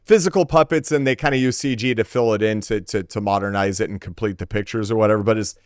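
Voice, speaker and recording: Man, casual podcast co-host, clear resonant voice, enthusiastic, wordy, no room echo. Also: fairly low pitch, quick pace, studio quality. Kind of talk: casual gaming podcast chat.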